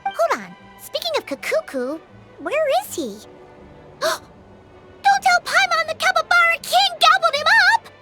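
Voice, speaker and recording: A little game companion with a piping, childlike squeak. She is bubbly and easily indignant, exclaiming in lively swoops and calling herself by name. Music plays clearly behind her. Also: measured pace, heavily acted. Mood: fretful. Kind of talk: video game voice acting. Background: game background music.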